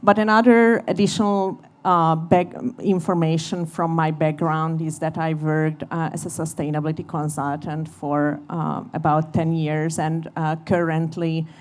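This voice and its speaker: A woman speaking as a panelist and society director, halting in a panel discussion. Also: low-pitched, little pitch movement. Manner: halting, many fillers and restarts.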